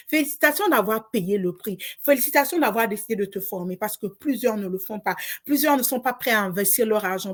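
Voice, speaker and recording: A woman from Congo, an online business coach, giving a motivational course introduction with emphatic, repetitive, preacher-like encouragement. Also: mid-range voice.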